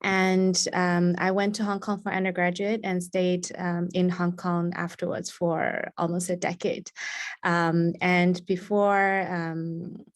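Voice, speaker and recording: Woman from China, lowish voice, student panelist on a video call, measured, lots of ums. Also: level tone. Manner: measured, with many ums and self-corrections. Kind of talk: self-introduction on a panel.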